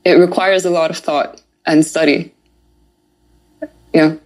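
A woman's voice: low voice, steady tone, takes it slowly, darkish tone, low-quality recording. A woman discussing scripture in a casual podcast conversation, engaged and emphatic, often repeating words. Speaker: podcast co-host.

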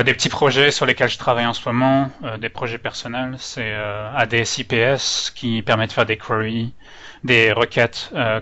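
A man's voice: medium pitch, sharp tone. A man from France with a French accent tinged by Canadian intonation, giving an informal, slightly hesitant technical presentation as a user group organizer. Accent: French with Canadian-influenced intonation. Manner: hesitant, nervous speaker. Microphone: online meeting microphone. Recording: dull, lo-fi online call audio.

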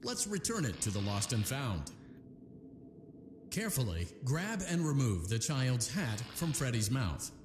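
An actor playing a calm, upbeat male voice that gives repair instructions in a video game. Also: mid-range voice, a little slow. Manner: calm, upbeat corporate training tone. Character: friendly male corporate repair-training voice. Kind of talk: scripted game voice-over instructions. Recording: echoey reverb with game music behind.

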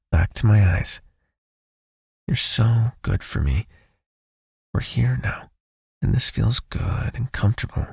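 Male vampire captor, acted by a man for ASMR roleplay: very husky, unhurried, close dry sound, possessive yet soothing, coaxing his knight into obedience. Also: no room sound, heavily acted. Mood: gently commanding. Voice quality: husky, breathy, low, often near a whisper. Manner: slow, coaxing, gently commanding. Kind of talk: intimate ASMR hypnosis roleplay.

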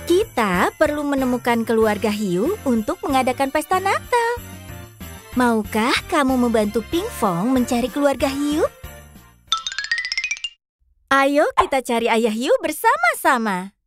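A sing-song cartoon fox guide. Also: female voice, high-pitched, very animated, unhurried, pauses often, faint music behind. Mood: cheerful. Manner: sing-song, coaxing, addresses the kids. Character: bright cheerful pink fox guide. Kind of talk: children's cartoon voice acting.

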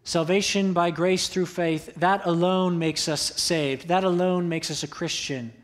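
A man's voice: high voice, fairly even intonation, measured pace. A young man preaching a sermon from a church pulpit, earnest and exhorting, repeating key phrases for emphasis. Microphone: pulpit microphone.

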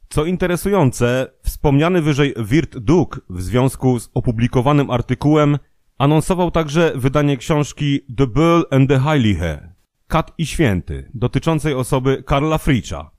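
A man reading scripted documentary narration in a formal, measured manner. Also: medium pitch, darkish tone, no room sound, clean studio sound.

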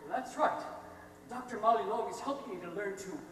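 A young man playing a naive, innocent wild youth with halting, childlike speech in a high school stage comedy. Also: close to an everyday voice. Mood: innocent, puzzled. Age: young adult. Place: theatre stage, recorded from the audience. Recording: echoey hall, faint noise.

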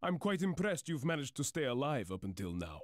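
An actor playing a cold, calculating male mercenary officer in a video game, speaking calmly and matter-of-factly. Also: mid-range voice, normal speed, lightly acted. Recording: game audio under loud music. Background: game music.

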